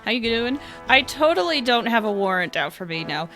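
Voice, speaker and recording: A woman's voice: on the high side, very animated, fairly quick, pushed and bright, soft background music. A woman giving casual live commentary as a video game streamer, playful and chatty.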